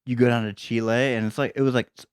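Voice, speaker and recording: A man's voice: medium pitch, steady tone, fast talker, smooth, dry recording, studio quality. A man guest on a podcast, talking in a laid-back, slangy way. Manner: laid-back, slangy "dude" and "bro" talk. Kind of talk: casual chat.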